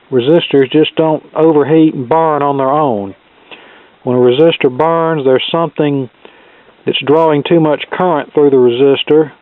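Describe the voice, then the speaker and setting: A man giving a hands-on repair tutorial as an instructor, explaining each step in a methodical, measured way. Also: a little high, dry recording. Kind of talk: hands-on repair tutorial, talking through steps. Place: indoor cluttered electronics workbench. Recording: thin phone-like band-limited sound.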